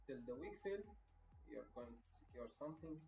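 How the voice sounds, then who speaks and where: A man's voice: medium pitch, lively intonation, fairly quick, creaky, a little mellow. A man from Egypt commenting casually on a live stream, talking to viewers as bro and lecturing them on discipline. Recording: roomy, distant and dull with faint music.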